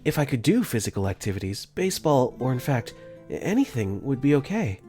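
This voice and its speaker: Brief: male narrator-lead, lively pitch, earnest and flustered, first-person visual novel dub. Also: brisk, soft background music.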